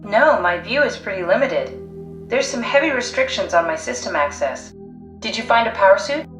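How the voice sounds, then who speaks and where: An actress plays a woman scientist living on as a mind inside a machine in a video game. She explains things in a dry, practical, wry way. Her mid-pitched voice rings in an echoey space over faint music. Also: lively intonation, normal speed, fairly bright tone, lightly acted. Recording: echoey room, music underneath.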